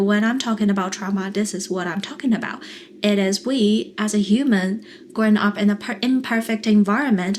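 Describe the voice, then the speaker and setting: Young therapist lady, mandarin accent, gentle and earnest, mid pitch, a bit of faint hiss behind. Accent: Mandarin Chinese. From China. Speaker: therapist and coach. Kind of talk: calm coaching monologue to camera.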